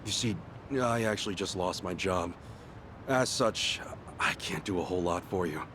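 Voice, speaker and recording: A man's voice: low-pitched, moderate pace, background noise, lightly acted. A man voicing an earnest, apologetic ex-gangster hero in a dramatic video game cutscene. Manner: apologetic, sincere. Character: earnest, guilt-ridden ex-yakuza hero, adult man.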